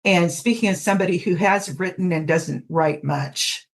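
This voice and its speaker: A woman's voice: on the low side, normal speed, gravelly. A woman chatting casually on a podcast, speaking in an emphatic, opinionated way. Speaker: podcast participant. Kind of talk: casual chat.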